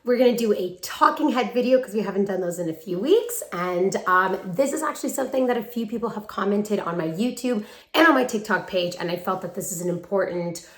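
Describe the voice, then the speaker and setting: A woman's voice: medium pitch, expressive, brisk. A woman in her twenties giving casual talking-head advice to viewers in a chatty, emphatic, affectionate way, recorded on a handheld phone. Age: 20s. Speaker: vlogger and acting coach. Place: room at parents' home, brick wall. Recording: roomy echo from a hard-walled room.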